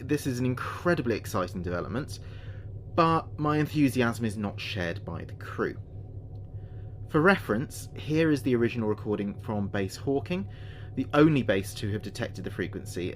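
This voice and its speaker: A British man playing an earnest spaceship communications officer recording logs in a sci-fi audio drama, speaking in a measured, precise way. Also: on the low side, slight background noise. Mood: excited, formal.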